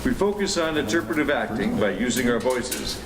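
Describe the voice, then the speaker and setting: A man's voice: mid-range voice, lively intonation, moderate pace. A man giving a scripted welcome announcement to introduce a community theater performance. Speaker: event announcer. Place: indoor community theater space. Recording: echoey, noisy room recording.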